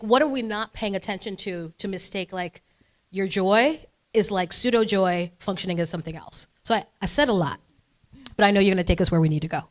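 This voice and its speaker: A woman hosting an interview who asks long, enthusiastic, rambling questions. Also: medium pitch. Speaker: interview host. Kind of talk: interview conversation.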